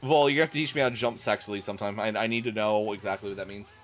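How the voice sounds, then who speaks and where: A man's voice: on the low side, steady tone, racing. A man doing a comic dramatic reading as a streamer, with exasperated, incredulous asides. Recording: music playing behind the voice. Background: music.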